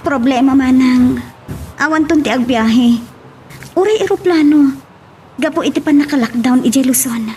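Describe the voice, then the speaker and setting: Woman acting high-pitched, homesick young Ilocano wife. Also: normal speed, pauses often, darkish tone, natural voice. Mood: anxious. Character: young wife working away, homesick and anxious. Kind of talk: Ilocano radio-style drama acting. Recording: noisy ambience behind the voice.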